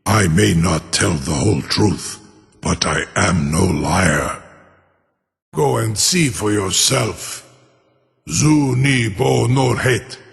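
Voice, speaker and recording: An ancient dragon from a fantasy game, proud and cunning. The voice is low and crackles with gravel, wrapped in long cavernous reverb. Words are formal and grandiose, each one savored. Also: male voice, takes it slowly. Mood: wounded pride. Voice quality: deep, gravelly growl. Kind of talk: fantasy video game acting.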